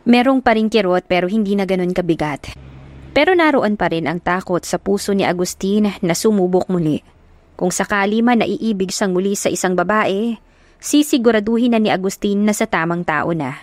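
A woman narrating a romance audiobook in a steady, even storytelling voice, mid-pitched for a woman. She is recorded on a close mic with a dry sound and no room echo. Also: normal speed.

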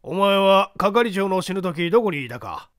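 A man's voice: high voice, animated, moderate pace, a bit bright, no room sound, studio quality. A man voicing a stern examining judge, blunt and authoritative as he interrogates in a mystery story reading. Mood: stern, commanding.

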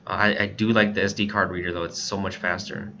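An American man giving a casual, informal software tutorial, explaining things step by step as an instructor. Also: low voice, flat delivery, fast talker. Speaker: instructor, scanner shop staff. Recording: muffled lo-fi voice-over, faint background noise.

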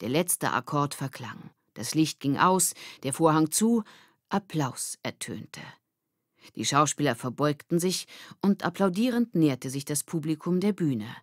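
A woman's voice: low voice, lively intonation, a little slow, no echo, clean studio sound. A woman reading a children's story as a scripted audiobook narration.

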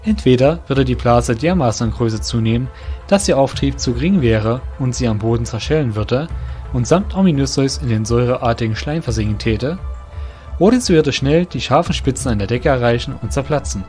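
A man's voice: medium pitch, animated, a little fast, a little mellow. A man narrating a scripted fantasy story in formal, literary phrasing. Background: music.

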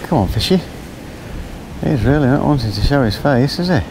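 A man's voice: medium pitch, animated, a little fast. A man giving casual live commentary while fishing a match, chatting to viewers as folks, recorded outdoors by a lake on a body-worn mic. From England. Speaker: angler presenting a fishing match. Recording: wind noise on outdoor mic.

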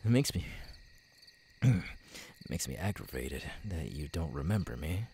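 A man acting a twitchy, unstable young man with tics in an ASMR roleplay, halting and stammering, menacing yet apologetic. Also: extremely low pitch, creaky, heavily acted. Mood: agitated. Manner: halting, stammering, broken by sudden shouted outbursts. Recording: night crickets, steady background noise.

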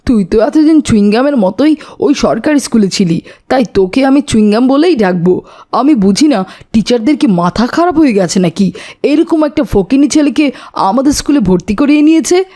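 A woman's voice: fairly high pitch, expressive, normal speed, mellow. A woman voicing an arrogant rich schoolboy bully in a cartoon, with a taunting, sneering way of talking. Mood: mocking. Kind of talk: cartoon voice acting.

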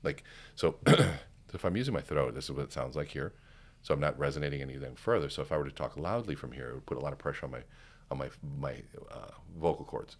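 A middle-aged man, a guest speaker coach on a podcast, talking in a practiced, calm baritone with deliberate pauses, recorded on a studio microphone. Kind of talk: conversational podcast interview. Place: podcast studio with curtained backdrop. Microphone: studio microphone on a stand, headphones on.

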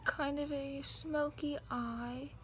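Young woman vlogging in her bedroom, high voice, slow, says like a lot, phone mic. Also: steady tone, slight background noise. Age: young adult. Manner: chatty, rambling, lots of "like". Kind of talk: casual vlog chat. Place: small bedroom, indoors. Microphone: phone camera at arm's length and on a dresser.

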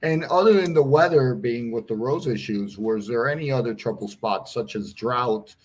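A man's voice: mid-range voice, moderate pace, darkish tone, husky, poor audio. A man hosting a live online interview, greeting viewers and asking casual questions. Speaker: host. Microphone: headset with studio mic.

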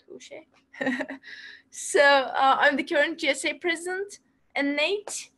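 High-voiced young woman on a video call. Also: fairly bright tone. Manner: halting, many fillers and restarts, laughs often. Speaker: student association president. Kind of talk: casual webinar talk. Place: small room at home, indoors. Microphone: laptop webcam, video call.

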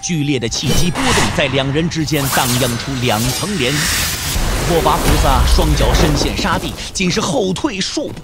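Guy narrating a wuxia audio drama, super animated and suspenseful, bit higher voice, music behind. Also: moderate pace. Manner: emphatic, suspenseful storytelling delivery. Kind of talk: dramatic scripted narration of a martial arts novel. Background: background music.

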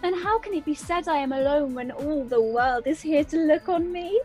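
Devoted, lovestruck young female, acted by a woman, classical radio drama, high register, ardent and imploring. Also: moderate pace, a little mellow, faint music behind, natural voice. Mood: ardent, insistent. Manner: pleading, ardent, insistent. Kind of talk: Shakespearean radio-play acting.

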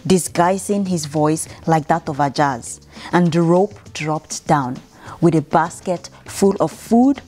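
A woman's voice: fairly low pitch, dark tone. Young woman telling a bedtime story over music. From Nigeria. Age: young adult. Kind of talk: scripted bedtime storytelling for children. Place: indoor room, seated by a piano.

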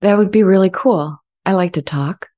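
A woman playing an earnest, friendly, self-aware artificial intelligence in a scripted dramatization, speaking in a measured, articulate way. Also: medium pitch, warm tone, close to an everyday voice. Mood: friendly, eager. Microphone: studio condenser mic with pop filter, close.

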